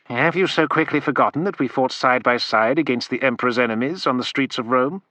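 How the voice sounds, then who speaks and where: A man's voice: mid-range voice, a little fast, sharp tone, no echo, natural voice. A man voicing a wry, dry-witted imperial agent. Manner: dry, ironic.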